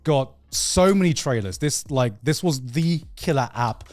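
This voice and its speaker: Man, medium pitch, casual podcast host, animated storyteller, swears freely, halting repeats, close dry sound, music underneath. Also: no echo. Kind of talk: casual video game chat.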